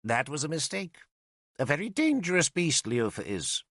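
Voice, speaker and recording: A man's voice: fairly high pitch, sing-song, normal speed, fairly bright tone, no echo, clean studio sound, pitched above the performer's own voice, full character voice. A male narrator voicing an old, vain and cantankerous wizard who mocks and lectures in a sardonic way. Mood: sly. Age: older. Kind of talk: audiobook character voice.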